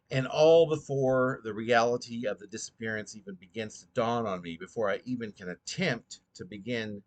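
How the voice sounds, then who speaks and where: A man reading his own poem aloud in an earnest, rhetorical, dramatic recitation with a halting delivery. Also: on the low side.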